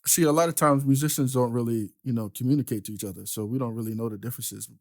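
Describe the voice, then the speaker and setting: A man's voice: medium pitch, fast, darkish tone. Easygoing man host who laughs often. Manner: easygoing, laughs often, asks short questions. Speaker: podcast host and keyboard player. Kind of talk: casual interview chat.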